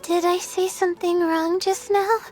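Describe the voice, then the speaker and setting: A woman voicing a gentle, polite, hesitant young astrologer in a video game. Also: extremely high pitch, flat delivery, normal speed. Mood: hesitant, earnest. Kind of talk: animated video game voice acting. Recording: music under the voice.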